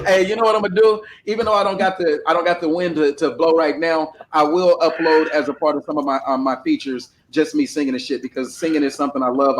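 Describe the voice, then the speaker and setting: A man's voice: fairly high pitch, background noise. A man hosting a casual livestream panel discussion, talking bluntly and with plenty of profanity over a desktop microphone. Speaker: panel host.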